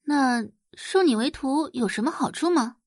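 A woman's voice: sits high, animated, normal speed, a little mellow, no echo, clean studio sound, voice pushed up. A woman voicing a nonchalant young girl computer whiz in an audio drama. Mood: cute, demanding. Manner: breezy, nonchalant. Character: young girl computer whiz, breezy, cool, milk-tea lover. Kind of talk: Chinese audio drama acting.

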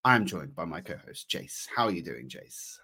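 A man's voice: deep voice, big pitch swings, normal speed, sharp tone, slightly rough. Stuffy-nosed man hosting a podcast. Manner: upbeat, jokey presenter. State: stuffy, bunged up. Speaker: podcast host. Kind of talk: casual football chat.

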